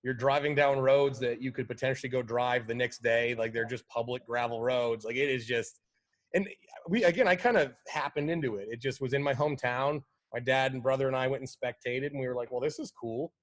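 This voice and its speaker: A man at a middle pitch talks as a guest in a laid-back interview. He rambles in an easy, friendly way and leans on casual fillers as he speaks. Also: steady tone, a little fast. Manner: easygoing, lots of fillers like "like" and "you know". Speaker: guest, pro rally driver.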